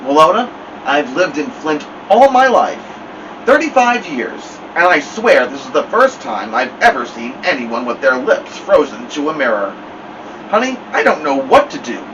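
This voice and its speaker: A man's voice: fairly high pitch, big pitch swings, moderate pace, far from the mic, lo-fi sound, noisy background. A man voicing an amused, teasing father in his thirties in a story reading. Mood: baffled.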